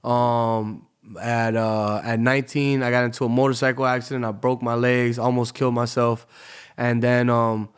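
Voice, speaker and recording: A man's voice: medium pitch, flat delivery, normal speed, clear, resonant tone. A man from Tampa, a DJ, telling his story in a laid-back, casual way as a podcast guest, often saying "you know". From Tampa, Florida.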